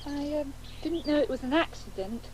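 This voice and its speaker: A woman's voice: high voice, normal speed, noisy background, lightly acted. An actress playing a polite, hesitant schoolgirl in a radio play, awkward and apologetic. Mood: doubtful, polite. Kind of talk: naturalistic radio play dialogue.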